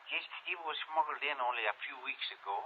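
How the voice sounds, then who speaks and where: A man plays a slimy underworld informant from Paris in a 1950s radio drama. His French accent is oily and greedy, stretched out with false courtesy. The voice is clean and smooth, but the recording is narrow and phone-like. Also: fairly high pitch, close to an everyday voice. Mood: informative, smooth. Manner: oily, drawn-out haggling with mock politeness.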